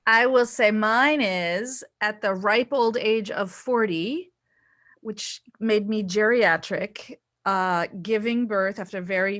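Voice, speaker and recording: A middle-aged woman with a bright, forceful voice at a medium pitch. She talks informally and deliberately on a video call. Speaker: actor. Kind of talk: casual post-show discussion. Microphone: laptop video call.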